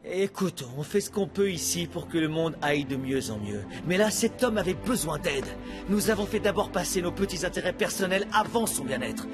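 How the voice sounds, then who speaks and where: An eager, self-assured young cat from a kids' cartoon. The voice sits fairly high and swings widely as he pitches away like an entrepreneur. Music plays behind him. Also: normal speed. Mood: apologetic, earnest. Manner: animated, self-assured patter. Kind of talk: French-dubbed children's cartoon acting.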